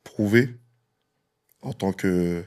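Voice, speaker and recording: A man from France, a guest DJ in an interview, relaxed and joking, with frequent fillers. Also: low voice, very slow.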